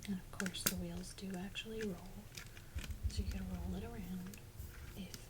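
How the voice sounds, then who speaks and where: A woman speaking softly and breathily in casual ASMR style, often slipping into a whisper, gently musing to herself. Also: fairly low pitch, fairly even intonation, normal speed. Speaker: ASMR video creator. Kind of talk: soft-spoken ASMR, casual toy unboxing chat. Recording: loud crinkling and clicking handling noise. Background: crinkles, clicks, crumpling.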